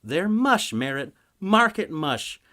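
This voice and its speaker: A man's voice: on the high side, sing-song, takes it slowly, sharp tone, pitched above the performer's own voice, exaggerated voice. A man voicing an exasperated, scolding wife and mother with a grating edge in a fantasy story.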